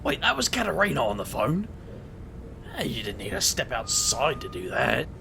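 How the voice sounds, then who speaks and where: Man playing an animated, exasperated guy with creaky edge. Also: medium pitch, moderate pace, background noise, exaggerated voice. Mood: concerned. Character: anxious, indignant, caring young man, easily exasperated. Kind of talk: audio drama acting.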